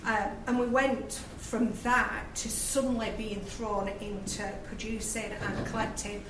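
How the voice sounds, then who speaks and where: A woman's voice: medium pitch, flat delivery, unhurried, background noise. Lancashire woman panellist, chatty and hesitant, distant roomy sound with audience ambience. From Lancashire, England. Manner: chatty, many fillers and hesitations. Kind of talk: live panel discussion. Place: event venue with live audience.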